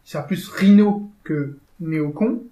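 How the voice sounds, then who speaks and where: A man giving measured, explanatory political analysis in a casual discussion. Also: fairly high pitch, crowd noise. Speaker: co-host. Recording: echoey room.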